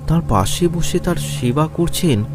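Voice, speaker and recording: A man's voice: on the high side, expressive, measured pace. A man narrating a cartoon story in a scripted, storytelling style. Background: music.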